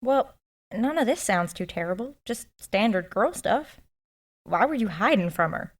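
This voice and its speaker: A woman's voice: mid-range voice, animated, a little slow. A woman playing a nosy, loyal small-town sidekick in an audio drama, with a frank, folksy and eager manner. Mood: curious, sympathetic. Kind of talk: audio drama dialogue acting.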